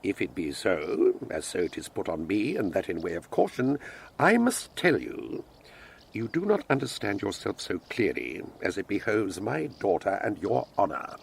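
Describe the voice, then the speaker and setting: An actor playing a pompous, wordy old father in Shakespearean style, lecturing his grown children emphatically in a gravelly, husky voice. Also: lowish voice, very animated, moderate pace, slight background noise. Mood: probing, stern. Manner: declamatory, emphatic, sententious. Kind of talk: Shakespearean stage acting.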